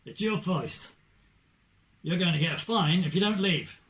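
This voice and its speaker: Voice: medium pitch, unhurried, a bit bright, far from the mic, natural voice. A performer playing an officious, insistent police officer in a scripted puppet show. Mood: stern.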